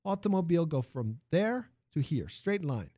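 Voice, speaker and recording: A man voicing a small, excitable immigrant factory worker, speaking emphatic broken English in a narrated story. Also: fairly high pitch, normal speed, no echo, clean studio sound. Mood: excited, insistent.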